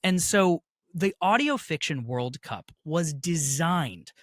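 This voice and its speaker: Guy getting interviewed, really animated and emphatic, slightly high voice, dry close sound. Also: takes it slowly, no room sound. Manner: emphatic, persuasive, articulate. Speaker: interview guest, festival organizer and actual play producer. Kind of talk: enthusiastic conversational interview.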